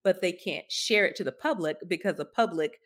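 A woman's voice: lowish voice, fairly quick, a bit bright. A woman co-hosting a podcast, giving conversational, emphatic and skeptical commentary on tech news. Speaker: podcast co-host.

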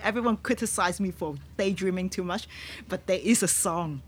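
A woman with a Hong Kong accent, a native Cantonese speaker, talking as a guest musician on a podcast in a halting way, often searching for words. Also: fairly low pitch, slight background noise. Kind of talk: podcast conversation. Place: on a boat in a harbour.

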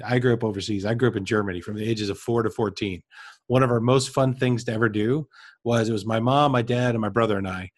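A man's voice: mid-range voice, dry recording, studio quality. A man co-hosting a casual discussion, reflective and explaining with personal examples. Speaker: podcast co-host.

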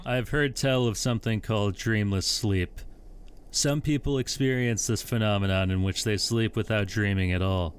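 A fairly low-voiced young man acting as a brooding, sleepless storyteller. He narrates in a measured, reflective way, over faint background noise. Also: lightly acted. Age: young adult. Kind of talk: scripted first-person audio fiction narration.

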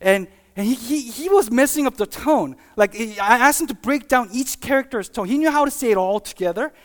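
A preacher giving a conversational sermon with personal stories, talking in a halting, informal way and often checking in with listeners. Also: mid-range voice, animated. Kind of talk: conversational sermon with personal anecdotes and acted-out examples.

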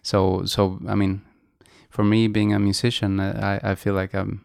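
A middle-aged man from Sweden, a podcast guest who speaks English hesitantly and softly, with many restarts. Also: lowish voice, monotone, moderate pace, vocal fry, smooth, dry recording, studio quality. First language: Swedish. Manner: hesitant, soft-spoken, many restarts, light laughs. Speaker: guest, musician. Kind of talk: podcast interview.

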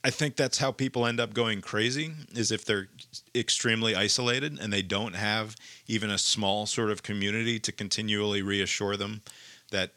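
A man's voice: on the low side, fairly even intonation, normal speed, sharp tone, no room sound. A man in casual conversation, talking in a reflective, musing way. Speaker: participant in a group conversation. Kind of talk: casual chat.

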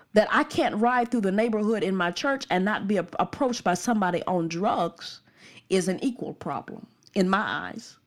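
A young woman from the Birmingham suburbs, giving an earnest, emphatic opinion monologue that repeats its points. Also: mid-range voice. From Birmingham, Alabama suburbs.

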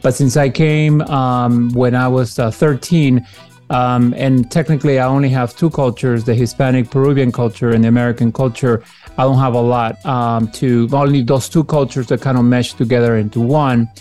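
A man from Peru hosts a casual podcast chat, his voice mellow and dark in tone. He talks haltingly, full of "uh"s and restarts, over a video call with faint guitar music underneath. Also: medium pitch, level tone. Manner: hesitant, many fillers and restarts. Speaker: podcast host.

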